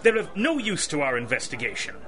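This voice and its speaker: A man's voice: on the high side, huge pitch swings, brisk, bright, forceful tone, heavily acted. A man playing a blustery, defensive police chief in 1930s-style radio drama, officious and blunt. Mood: defensive, stern.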